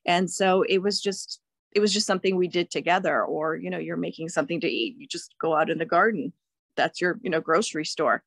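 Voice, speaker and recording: A woman's voice: fairly low pitch, fairly quick, vocal fry, no room sound. A middle-aged woman from Croatia, a guest in a casual interview, cheerful and laughing often as she talks. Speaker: guest, plant seller.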